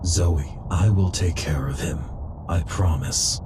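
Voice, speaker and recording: A man voicing a calm, terse cyborg warrior in a video game, deep-voiced, measured and deadpan. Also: background noise. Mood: reassuring.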